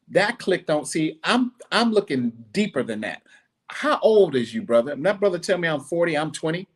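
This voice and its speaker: Middle-aged guy on his podcast, blunt and preachy, kinda high voice, gets animated. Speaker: podcast host. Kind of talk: casual monologue.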